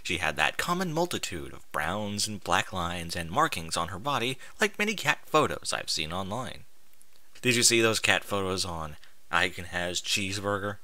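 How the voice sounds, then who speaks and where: A man's voice: low-pitched, sing-song, normal speed, sharp tone, no room sound. A man reading a story aloud with exasperated, sarcastic, sweary commentary. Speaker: host reading and mocking amateur fan fiction aloud.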